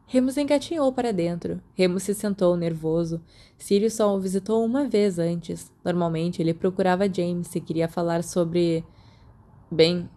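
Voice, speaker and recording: A Brazilian woman narrating a fan fiction audiobook in a soft storytelling manner, voicing the dialogue as she goes. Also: lowish voice.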